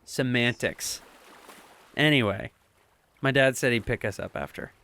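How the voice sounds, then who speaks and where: A man's voice: medium pitch, unhurried, pushed and bright. A young man playing an earnest, bookish, smitten teenage boy in an audio drama, playfully pedantic and slightly hesitant. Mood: playful, smug.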